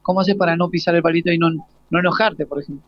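A man's voice: on the high side, fairly even intonation, no room sound. A man in his forties with an Argentine accent, interviewing casually and often stopping to rephrase his questions. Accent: Argentine Spanish. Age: 40s. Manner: halting, rephrases questions repeatedly. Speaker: radio interviewer. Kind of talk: casual live interview with students. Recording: dull lo-fi live broadcast sound.

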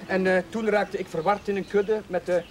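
An actor playing a gentle, flustered, self-mocking young village priest in a television period drama, speaking hesitantly. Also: male voice, sits high, steady tone, normal speed, slight background noise, lightly acted. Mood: agitated, self-mocking. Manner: hesitant, stammering. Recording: music behind, 1970s television soundtrack.

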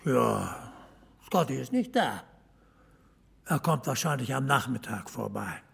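A gruff, guarded boss of a small mill in a radio drama. His voice is extremely raspy and gravelly, with lively swings of pitch. It rings in a long reverb. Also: slow, full character voice. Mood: wary, laconic.

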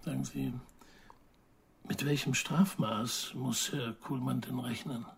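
An actor playing an evasive, touchy journalist under questioning in a naturalistic radio crime drama, with a gravelly, husky voice. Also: male voice, medium pitch, fairly even intonation, slow pace, exaggerated voice. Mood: cautious, reflective.

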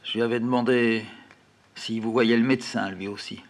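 A man telling a first-person childhood memory in a plain, unhurried, quietly reflective way. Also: medium pitch, fairly even intonation. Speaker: storyteller. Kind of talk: first-person memoir narration.

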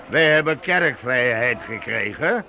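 Radio drama: composed duke of vagrants, clear-cut bright tone, slow firm delivery. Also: male voice, mid-range voice, expressive, a little hiss or hum, exaggerated voice. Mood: calm, firm. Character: calm, dignified leader of medieval vagrants. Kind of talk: period radio drama acting.

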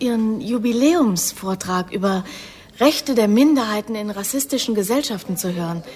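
A woman's voice: mid-range voice, darkish tone, lightly acted. An actress in a German radio drama playing an eager young woman posing as an admiring student, polite, gushing and slightly hesitant.

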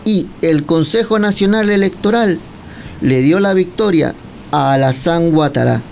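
A man's voice: a little high, vocal fry, darkish tone, a little hiss or hum. A man from Cuba, chatting and teasing as he questions a guest and tells long stories. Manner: chatty, teasing, drawn-out storytelling. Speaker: guest interviewer. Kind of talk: casual chat.

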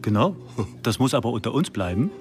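A male actor plays a convivial yacht host who loves gossip, in a radio drama. His voice is of middling pitch. Faint music plays in the background. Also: big pitch swings, a little fast, natural voice. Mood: convivial, gossipy. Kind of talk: radio drama party dialogue.

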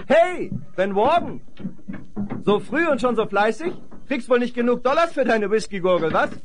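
A man's voice: very high-pitched, expressive, moderate pace, music in the background. A male actor playing a plucky, friendly young rancher's son in a 1970s western radio play, familiar and assured. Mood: cheerful. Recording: noisy vinyl radio play with animal sound effects.

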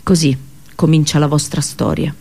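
An actress playing an accursed princess and prophetess in a radio drama monologue, with a solemn, intense storytelling delivery. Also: female voice, sits low, steady tone, normal speed. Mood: grave, scornful. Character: Cassandra, bitter accursed Trojan princess and prophetess. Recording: voice over background music. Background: music.

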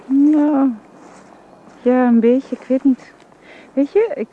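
A woman's voice: high voice, fairly even intonation, takes it slowly, narrow, tinny audio. A woman playing a polite, reticent young woman with a hesitant, restrained delivery in a radio drama, recorded dully with faint hiss. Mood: wistful, hesitant.

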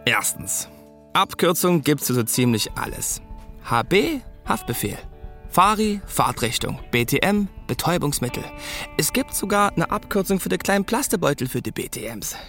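A man's voice: a little high, big pitch swings, normal speed, fairly bright tone, faint music behind. An actor playing a wry, streetwise male narrator, telling a police radio drama in colloquial, laconic, sardonic style.

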